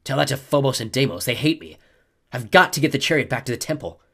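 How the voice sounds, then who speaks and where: A man's voice: medium pitch, animated, fast, vocal fry, sharp tone, voice pushed up, exaggerated voice. A man voicing a tough, angry teenage warrior girl who growls and snaps defensively. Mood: grumbling. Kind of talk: audiobook character voice.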